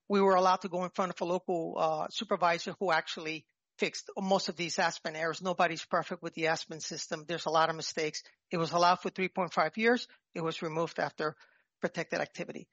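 A man with a New York accent giving a formal, halting and nervous oral argument as a petitioner in court. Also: sits high, a bit bright, no echo. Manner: halting, nervous, jumping between points. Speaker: petitioner arguing own appeal. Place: appellate courtroom. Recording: dull courtroom microphone, dry sound.

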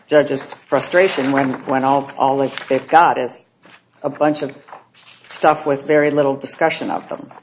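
A woman's voice: rumbling low, fairly even intonation, a little slow, slight background noise. Appellate judge, female, pointed skeptical questioning; muffled lo-fi courtroom audio. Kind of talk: questioning from the bench. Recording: dull, lo-fi courtroom recording.

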